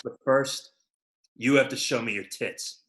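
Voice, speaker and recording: A surly, crude tough guy, as a man plays him, gruff and blunt, with a mid-range voice. He sounds sullen and blunt. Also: mid-range voice, steady tone, a little slow, fairly bright tone, natural voice. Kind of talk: livestream script reading.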